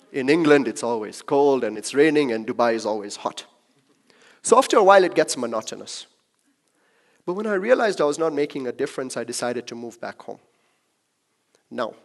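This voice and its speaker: Young man, from Kenya, relaxed talk speaker, chatty with the audience. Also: mid-range voice. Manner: conversational, jokes with the crowd. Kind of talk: personal talk to a live audience.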